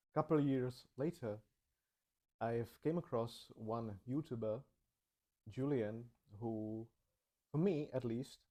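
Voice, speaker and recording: Slow, mellow-voiced man. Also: medium pitch. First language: Czech. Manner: slow, measured, thoughtful delivery. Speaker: channel host. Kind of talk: reflective personal narration.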